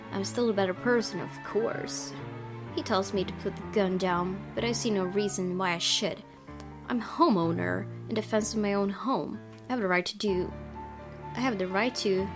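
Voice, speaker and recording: Woman narrating dramatically over clear music. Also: mid-range voice. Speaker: gaming video host reading aloud. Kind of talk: dramatic narration of a visual novel, first person. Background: game music.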